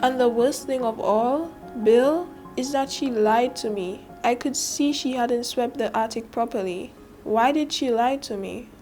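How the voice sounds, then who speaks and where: A woman's voice: a little high, unhurried, few pauses, a little mellow, soft background music, close to an everyday voice. A teenage girl with a Saint Lucian accent voicing a troubled mother in a storybook reading, earnest and emphatic. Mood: frustrated. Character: troubled mother, complaining then remorseful.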